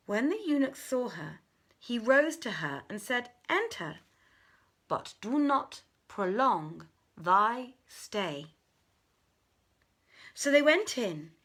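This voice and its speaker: A woman reading a literary tale aloud as a storyteller, with theatrical, deliberate and expressive phrasing. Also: fairly high pitch. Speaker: storyteller, reader.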